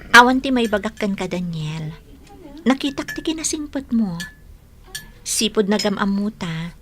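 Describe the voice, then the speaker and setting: A woman's voice: medium pitch, animated, unhurried, pushed and bright, a little hiss or hum. A woman acting a modest, sincere young sweetheart in an Ilocano radio drama, speaking politely and with care. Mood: sincere, shy.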